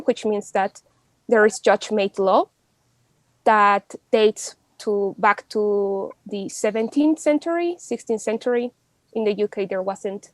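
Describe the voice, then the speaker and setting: A woman's voice: mid-range voice, no echo, low-quality recording. A young woman from Mexico, a law student speaking as a podcast guest in casual, chatty talk full of fillers and restarts. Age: young adult.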